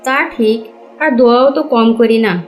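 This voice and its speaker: A woman plays a devout cartoon wife with a clearly high voice. She speaks earnestly, pleading and admonishing her husband like a moral guide. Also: fairly even intonation, moderate pace, soft background music, close to an everyday voice. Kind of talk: cartoon voice acting in Bengali.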